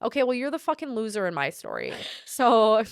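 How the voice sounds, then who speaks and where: A woman's voice: fairly high pitch, big pitch swings, normal speed, no echo, studio quality. A woman wedding photographer co-hosting a casual podcast, talking bluntly and swearing freely as she gives her opinions.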